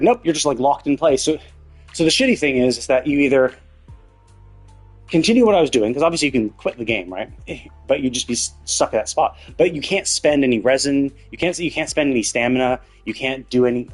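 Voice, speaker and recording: A man chatting casually on a gaming livestream in a rambling, conversational way, with loose, casually profane talk. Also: mid-range voice. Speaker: live streamer.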